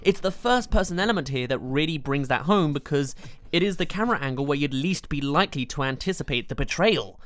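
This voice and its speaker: A narrator presenting a scripted countdown review with emphatic, expressive delivery. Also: mid-range voice, brisk, dry recording. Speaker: video game reviewer, host.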